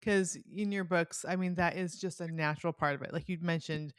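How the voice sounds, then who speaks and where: A woman's voice: on the low side, steady tone, fairly quick, smooth, no room sound. Chatty woman podcast host, dry close sound. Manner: chatty, informal, peppers talk with "like". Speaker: podcast host interviewing an author. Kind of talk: casual enthusiastic book chat.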